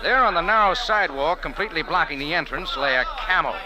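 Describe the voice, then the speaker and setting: A man's voice: a little high, lively intonation, quick pace, close to an everyday voice, poor audio. A man playing a tough, sardonic American café owner in an old-time radio adventure, narrating and talking in dry slang. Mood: wry narration. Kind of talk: first-person radio adventure narration and dialogue. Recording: vintage radio drama, music behind.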